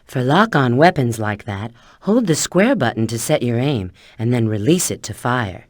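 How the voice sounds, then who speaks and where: A woman's voice: rumbling low, lively intonation, moderate pace, a little mellow, no echo, studio quality. An actress playing a confident female government agent who gives clipped, instructive mission tips in a video game.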